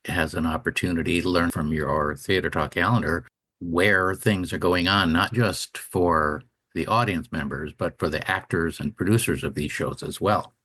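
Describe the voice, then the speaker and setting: A man's voice: deep voice, steady tone, normal speed, a bit bright, slightly rough, no room sound. A man talking casually as co-host of a radio talk show.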